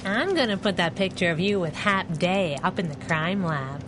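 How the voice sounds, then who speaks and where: An actress as a sassy, lively wisecracking sidekick. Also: female voice, lowish voice, normal speed, noisy background, close to an everyday voice. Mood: cheeky, teasing. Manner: cheeky, slangy, teasing. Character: sassy, wisecracking young woman, superhero's loyal sidekick. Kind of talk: 1930s radio drama acting.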